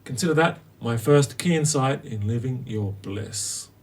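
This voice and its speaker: A man in his late forties narrating his own story as a host, in a wry, theatrical way with dramatic pauses. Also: mid-range voice, husky. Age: late 40s. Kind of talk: scripted personal narration.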